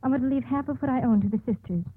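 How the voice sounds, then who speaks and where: An actress playing a young condemned woman, anguished and deeply in love, in a 1950s radio melodrama. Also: rapid-fire, husky, no echo. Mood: tender resignation. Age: young adult. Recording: muffled old 1950s radio broadcast.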